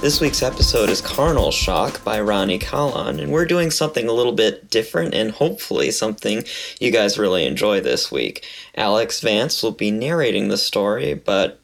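A man's voice: on the low side, big pitch swings, moderate pace, vocal fry. A man introducing a podcast as its host in a casual, folksy way. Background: music.

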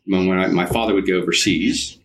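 A man's voice: sits low, fairly even intonation, brisk. A man, reminiscing conversationally as an interview guest, rambling and often rephrasing himself. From United States, partly Alabama and California. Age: 50s. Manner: rambling, circles back and rephrases.

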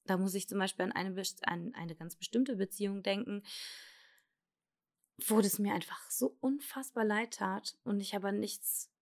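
Hesitant German woman confiding. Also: mid-range voice. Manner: hesitant, halting, many fillers and restarts; candid, confessional. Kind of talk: personal testimony.

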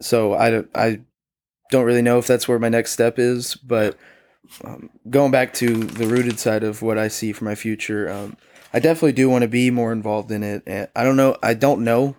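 A young man chatting hesitantly on a podcast, halting and soft-spoken as he talks things through. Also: medium pitch, fairly even intonation. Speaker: returning podcast guest. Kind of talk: casual podcast chat.

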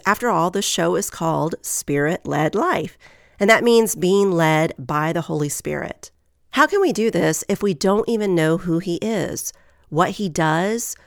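Nasal, gravelly-voiced woman podcast host. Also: lowish voice, no room sound, clean studio sound. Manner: earnest, encouraging, reads scripture aloud. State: getting over a head cold.

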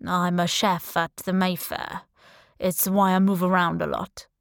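Woman voicing a slow, charming hitman. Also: fairly low pitch, level tone, gravelly, no room sound, clean studio sound. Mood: casual charm. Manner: slow, halting, casually charming. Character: smooth, charming male Spanish assassin posing as chef. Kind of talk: audiobook character dialogue.

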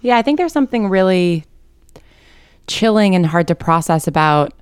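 A woman's voice: lowish voice, expressive, normal speed, clean tone, no echo, clean studio sound. A woman being interviewed as a writer, speaking in a thoughtful, articulate, explanatory way. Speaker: interviewed writer of an audio drama. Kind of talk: reflective interview conversation.

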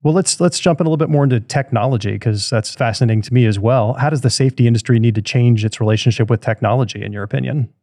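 A man's voice: medium pitch, quick pace, darkish tone, no room sound, clean studio sound. A man hosting a podcast who reads a friendly scripted introduction and then asks questions in easy conversation. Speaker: podcast host. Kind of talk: podcast interviewing.

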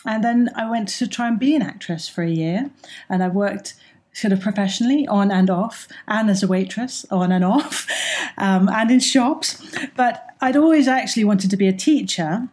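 A woman's voice: medium pitch, normal speed, darkish tone. A middle-aged woman, a drama teacher answering interview questions in an enthusiastic, chatty way with frequent ums. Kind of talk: interview guest, recounting her background.

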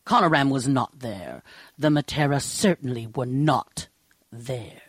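An actor playing a sinister, scheming servant of a dark lord in an audio drama, speaking slowly and deliberately with menace. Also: male voice, medium pitch, animated, husky, no echo, clean studio sound. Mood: quietly menacing.

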